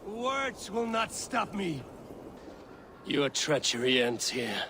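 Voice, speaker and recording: A man's voice: fairly high pitch, big pitch swings, slow, background noise, full character voice. An actor playing a stern, blunt male Viking warrior in a video game cutscene. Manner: blunt, stern, honor-bound.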